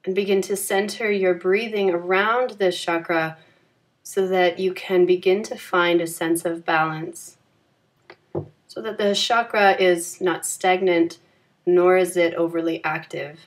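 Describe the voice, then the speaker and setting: Woman doing a guided relaxation, lowish voice, soft soothing delivery, takes her time between phrases. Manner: calm, soothing, gentle, unhurried phrasing. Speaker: yoga nidra meditation guide. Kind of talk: guided meditation.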